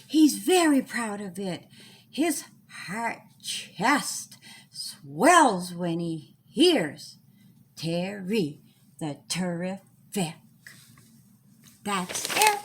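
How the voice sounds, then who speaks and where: A woman reading her own rhyming children's story in a deliberate, singsong storytelling manner. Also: mid-range voice, big pitch swings, slightly rough.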